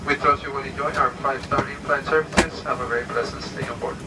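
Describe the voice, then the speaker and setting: A man's voice: medium pitch, fairly even intonation, fast. A man from an airline crew making a formal, scripted welcome announcement. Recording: distant cabin PA with clear background noise. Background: aircraft cabin noise.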